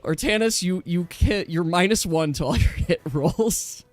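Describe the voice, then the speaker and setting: A man's voice: sits high, measured pace, dry recording, faint music behind. A man acting as game master of a casual tabletop role-playing game, chatty and explaining the rules as he goes.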